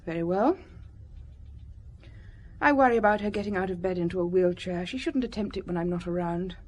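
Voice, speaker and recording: An actress playing a prim, earnest, efficient secretary in an audio drama, speaking formally and precisely with reserve. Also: female voice, fairly low pitch, a little fast, close to an everyday voice. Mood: guarded.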